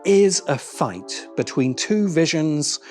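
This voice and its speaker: A man narrating a scripted video essay in a deliberate way, with dramatic pauses. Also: a little high, expressive, darkish tone. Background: background music.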